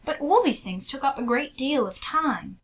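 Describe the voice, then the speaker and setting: Sensible, patient sister in a period novel, fairly high voice with very varied pitch, polite and gentle female actor performing. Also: expressive, normal speed. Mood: earnest, explaining. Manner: polite, reasoning. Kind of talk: dramatised classic novel reading.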